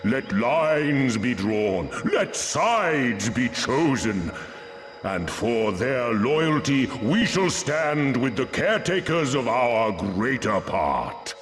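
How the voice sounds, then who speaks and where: An actor playing a grandiose, capricious storm god in a game, declaiming pompously in archaic language. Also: male voice, medium pitch, big pitch swings, takes it slowly. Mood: pompous, amused. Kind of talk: archaic theatrical game cutscene acting. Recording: echoing voice with music behind.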